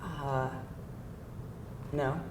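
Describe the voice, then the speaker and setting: A woman's voice: low-pitched, steady tone, drawn-out words. A woman playing a candid, feisty date with a hesitant, conversational delivery at a live screenplay table read. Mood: candid, tentative. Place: indoor room before a live audience. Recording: echoey room, distant mic, faint noise.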